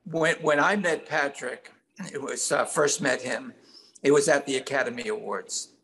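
A man's voice: mid-range voice, normal speed, a bit bright, low-quality recording. An older man telling stories casually as a songwriter guest in an interview over a video call. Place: indoor room at home.

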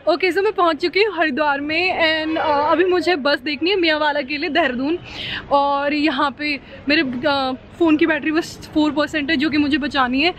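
A woman's voice: high-pitched, steady tone, normal speed, fairly bright tone, a little hiss or hum. A woman, chatting upbeat to a handheld camera on a bus journey. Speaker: travel vlogger. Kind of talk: casual on-camera travel vlog, Hindi-English mix. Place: inside a bus and at roadside stops. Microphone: handheld camera at arm's length.

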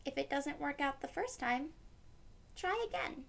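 Slow, high-voiced young woman reading to children. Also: animated, gravelly. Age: young adult. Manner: gentle, simple explanations addressed to young children. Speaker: read-aloud storyteller for children. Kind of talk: children's picture book read-aloud with explanations. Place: small room at home, indoors.

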